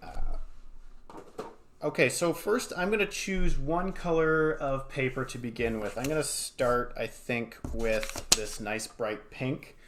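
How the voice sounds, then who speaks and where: A man's voice: medium pitch, takes it slowly, fairly bright tone. Casual man teaching crafts over background noise. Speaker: art educator, host. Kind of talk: casual step-by-step craft tutorial. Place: home studio. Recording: clear background noise, small clicks.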